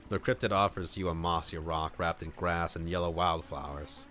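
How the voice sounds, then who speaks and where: A man on a livestream reading a visual novel aloud, mixing scripted narration with casual asides where he thinks out loud. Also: low voice, fairly even intonation, moderate pace. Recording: music playing behind the voice. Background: clear background music.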